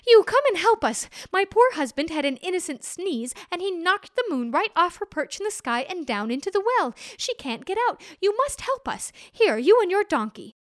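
A woman's voice: high voice, animated, a little slow, hardly any pauses, darkish tone, no echo, clean studio sound. A woman voicing a small, anxious old wife in a folk tale, urgent and bossy when she calls for help.

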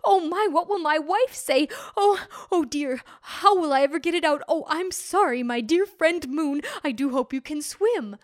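A fussy, gentle old man from a bedtime storybook, with a high, fluttering voice that climbs when he worries, as a woman storyteller puts him on. The sound is close and dry. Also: lively intonation, unhurried, dry recording. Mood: panicked. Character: kindly, fretful old husband who sneezed the moon.

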